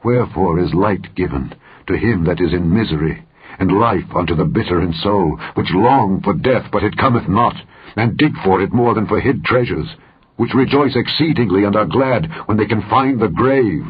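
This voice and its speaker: A man's voice: fairly low pitch, lively intonation, normal speed, slightly rough. A man reading the part of an anguished, grieving man lamenting his life in a solemn, declamatory, mournful manner. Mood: pleading, anguished. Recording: tinny, phone-like narrow-band recording.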